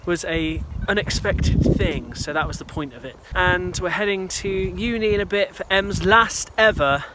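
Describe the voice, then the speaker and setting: A man's voice: high-pitched, normal speed, heavy background noise. A young British man chatting casually while walking outdoors, filmed on a phone. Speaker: vlogger, youth speaker. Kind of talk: casual vlog chat. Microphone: phone camera.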